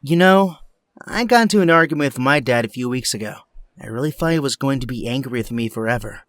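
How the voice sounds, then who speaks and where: A man's voice: medium pitch, quick pace, no room sound, natural voice. A man playing an upbeat, supportive young superhero friend in an audio drama, talking in an encouraging way. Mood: friendly, encouraging. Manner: encouraging, animated.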